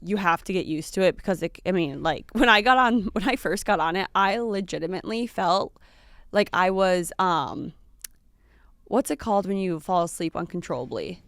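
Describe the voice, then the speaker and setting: A woman's voice: lowish voice, expressive, normal speed, no room sound, clean studio sound. A woman in her late twenties co-hosting a podcast, chatting casually with lots of fillers and little laughs. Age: late 20s. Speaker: podcast co-host. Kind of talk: casual chat.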